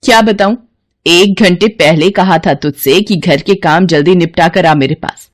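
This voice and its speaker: A woman's voice: on the low side, measured pace. Storybook villainess: arrogant, greedy rich mistress, imperious and cutting, voiced by a female narrator. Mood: impatient, scolding. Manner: haughty, bossy, scornful.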